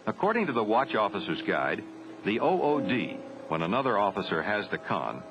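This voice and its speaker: An American man delivers grave, formal narration in a measured way, with an expressive range of pitch. The sound is a dull 1970s film soundtrack with faint noise behind it. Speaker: documentary narrator. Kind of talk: scripted narration of a 1970s military training film.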